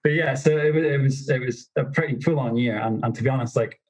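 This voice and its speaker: Husky man with a monotone delivery. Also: medium pitch, quick pace, low-quality recording. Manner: self-described monotonous delivery, many fillers. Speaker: snowboard instructor trainer, podcast guest. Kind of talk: casual interview storytelling.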